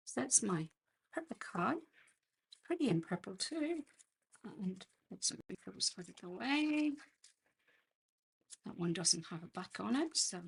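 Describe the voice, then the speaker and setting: Female Australian craft host, gravelly and croaky, slow and chatty, talking through hands-on work live. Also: medium pitch, slight background noise, low-quality recording. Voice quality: husky, croaky. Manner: unhurried, chatty, murmuring to herself while working. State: croaky voice, clearing throat. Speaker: host of a craft demonstration livestream. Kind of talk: casual live craft tutorial, talking while working.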